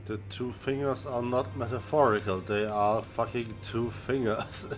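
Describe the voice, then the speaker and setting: A man's voice: mid-range voice, fairly even intonation, a little slow, faint music behind. A man giving casual commentary as he plays a game, blunt, sweary and irreverent. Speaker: gamer reacting while playing.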